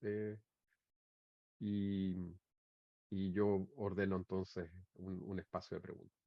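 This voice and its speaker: Low, creaky male moderator, dull sound. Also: flat delivery, no echo. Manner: hesitant, pausing, restarting phrases. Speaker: moderator of an academic session. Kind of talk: informal moderating of a discussion.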